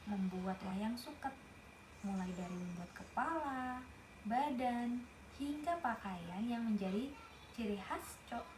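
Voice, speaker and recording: A woman reading a picture book aloud, stopping to explain the story to a young listener in a chatty way. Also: a little high. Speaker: storybook reader. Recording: roomy with noticeable background noise.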